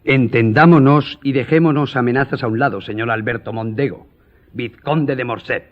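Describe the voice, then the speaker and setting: An actor playing a proud, composed gentleman journalist in a radio drama, speaking formally and firmly. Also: medium pitch, dull audio, lightly acted. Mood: firm, offended. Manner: formal, measured, firm. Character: proud, composed male newspaper journalist, duel-ready gentleman.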